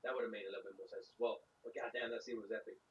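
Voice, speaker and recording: A man with a sore voice reacting excitedly on a casual stream, swearing and bursting into shouts. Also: lowish voice, racing. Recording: distant muffled stream mic with faint ambience.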